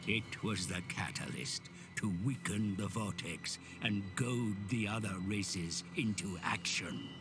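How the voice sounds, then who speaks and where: A man voicing a grim, ominous narrator of dark fantasy game cutscenes, gravelly and theatrical, with breathy phrase endings. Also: fairly low pitch. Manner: slow, theatrical, portentous. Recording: loud music under the voice.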